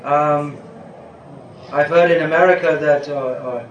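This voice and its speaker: Older male teacher fielding questions, loose and digressive, fairly high pitch, roomy echo. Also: a little slow, highs cut off. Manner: rambling, self-interrupting, chuckles at times. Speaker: spiritual teacher answering questions. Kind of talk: informal question-and-answer talk. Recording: echoey room with faint background noise.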